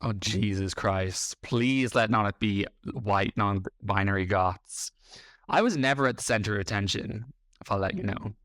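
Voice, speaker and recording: A man's voice: on the low side, moderate pace, a bit bright, no room sound. An Irish man in his thirties, a podcast host reacting to clips in a blunt, combative and sarcastic way. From Ireland. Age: 30s.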